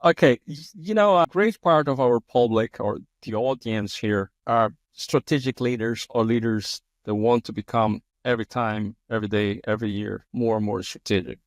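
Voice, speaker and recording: A man's voice: lowish voice, moderate pace, few pauses, no room sound. A man from Brazil with a Brazilian Portuguese accent, hosting an interview in English as a second language, effusive and often restarting his sentences. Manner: effusive, frequent restarts and repeated words. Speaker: podcast host. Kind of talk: conversational interview.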